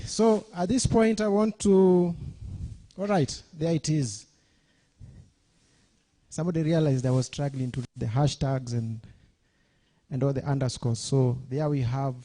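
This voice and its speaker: A man's voice: a little high, warm tone. A man with a Kenyan accent emceeing a launch event, chatty and engaging the audience. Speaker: master of ceremonies. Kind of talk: event hosting.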